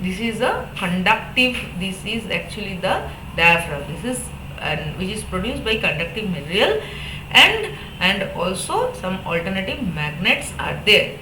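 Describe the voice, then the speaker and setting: Indian-accented woman lecturing in an echoey room. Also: mid-range voice. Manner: halting, with frequent repetition and okay tags. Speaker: electronics lecturer. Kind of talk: explanatory technical lecture. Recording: echoey room with background noise.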